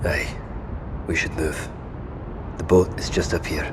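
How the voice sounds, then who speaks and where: Survivor, deep voice, protective, hushed, plain-spoken, audio drama, clearly noisy background. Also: male voice, flat delivery, unhurried. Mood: gentle urgency. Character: steady protective male survivor leading companions to a boat.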